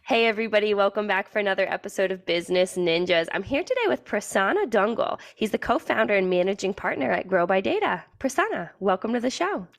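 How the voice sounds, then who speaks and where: A woman's voice: medium pitch, animated, fast, creaky, few pauses. A woman hosting an interview in a conversational, chatty way, affirming her guest and putting questions to him. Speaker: podcast host interviewing.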